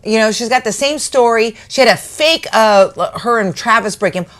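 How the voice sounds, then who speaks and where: A woman's voice: on the high side, moderate pace, a bit bright. A woman co-hosting a casual chat, telling stories animatedly with lots of restarts. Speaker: co-host.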